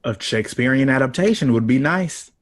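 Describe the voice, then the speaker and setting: A man's voice: medium pitch, expressive, fairly quick, dry recording, clean studio sound, lightly acted. A man playing an earnest, weary composer in an audio drama, modest, polite and thoughtful. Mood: thoughtful, subdued.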